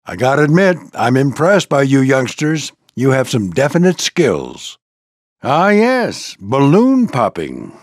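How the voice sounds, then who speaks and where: An older American man voicing a proud, gruff old military plane who mentors a squadron in a video game, with a gravelly voice and a commanding, folksy manner. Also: mid-range voice, no echo, clean studio sound. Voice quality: gravelly, husky. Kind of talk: scripted video game character lines.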